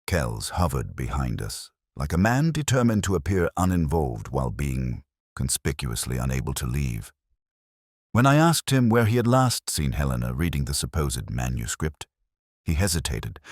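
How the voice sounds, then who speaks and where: A man narrating a scripted first-person detective story in a measured, literary, reflective way. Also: a bass voice, sing-song, no room sound, studio quality.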